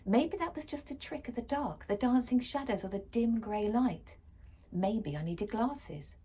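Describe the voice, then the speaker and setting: A woman's voice: a little high, animated, a little slow, mellow, husky. A woman reading a children's story aloud expressively, as for a school library.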